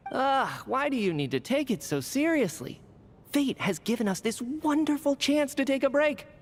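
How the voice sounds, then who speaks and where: A man's voice: extremely high pitch, sing-song, moderate pace, big-room sound, soft background music, full character voice. A man playing a playful, work-shy scientist in a video game, with a breezy, teasing, theatrical delivery. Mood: amused.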